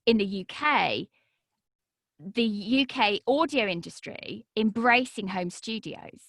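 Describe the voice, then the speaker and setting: Lively woman with a dry close sound. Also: slow, no room sound. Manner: animated, swings high and low in pitch. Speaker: podcast guest, voice and podcast coach. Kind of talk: energetic interview talk.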